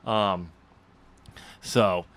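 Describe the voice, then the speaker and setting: A man's voice: deep voice, fairly even intonation, extremely slow, fairly bright tone. A man chatting casually as a podcast host and author, with joking, teasing banter.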